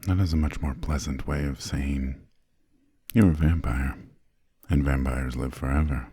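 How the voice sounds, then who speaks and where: A man playing an imperious, centuries-old vampire lord in an ASMR roleplay, courteous but condescending, with formal, measured, soft speech and a breathy, gravelly voice close to the microphone. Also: rumbling low, steady tone, no echo, heavily acted. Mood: condescending, wry. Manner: slow, measured, formal, haughty, drawn-out pauses. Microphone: close, dry studio microphone.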